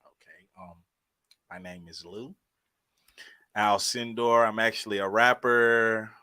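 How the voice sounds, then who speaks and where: A man's voice: fairly low pitch, steady tone, slow pace, sharp tone, clear, resonant tone, no echo, clean studio sound. A man chatting casually as a dating show contestant, in short informal phrases. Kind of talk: casual chat.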